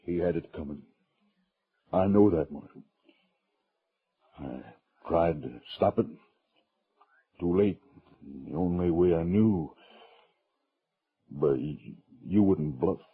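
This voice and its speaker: Slow, husky, deep grieving rancher. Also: male voice, exaggerated voice. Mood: grieving, resigned. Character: grieving middle-aged cattle rancher, stern father. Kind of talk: 1950s western radio drama acting. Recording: muffled narrow-band old radio recording.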